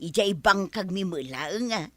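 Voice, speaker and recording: An actress with an Ilocano accent playing a put-upon, quarrelsome village wife in an audio drama, given to exclaiming and complaining. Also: female voice, on the low side, expressive, normal speed, bright, forceful tone, no echo. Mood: complaining, chatty.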